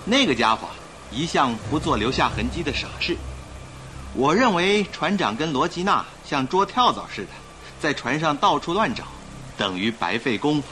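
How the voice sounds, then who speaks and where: An actor playing a suave, confident young gentleman with an emphatic, persuasive delivery in a Chinese radio drama. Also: a little high, expressive, normal speed, a little hiss or hum. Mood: assured, scornful. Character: suave confident young gentleman passenger, admiring a famous thief. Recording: radio drama with faint music behind.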